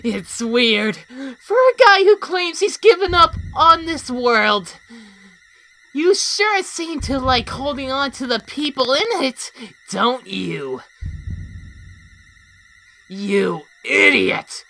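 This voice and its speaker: A woman's voice: high-pitched, big pitch swings, slow, bright, forceful tone, exaggerated voice, low-quality recording. A woman voicing a mocking, malicious talking flower in a comic dub, with a taunting, theatrical delivery. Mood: manic, gloating. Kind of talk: animated comic dub acting.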